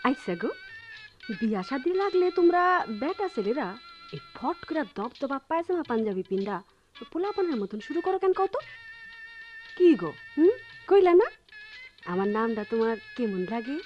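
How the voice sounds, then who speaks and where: High, animated village wife. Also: female voice, moderate pace, long pauses, dull audio, faint music behind. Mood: playful, coy. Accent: rural Bangladeshi. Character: defiant, playful village wife, fed up with poverty. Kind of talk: Bengali radio drama acting.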